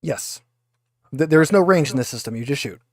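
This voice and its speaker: A man's voice: medium pitch, lively intonation, moderate pace, darkish tone. A man acting as game master, casually narrating a tabletop roleplaying session and giving rulings.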